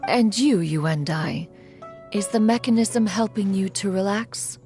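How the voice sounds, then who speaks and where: A woman's voice: mid-range voice, measured pace, music in the background, close to an everyday voice. A woman voicing an ancient, aloof female crane spirit who speaks formally and calls herself "one", in a game cutscene. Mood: calm.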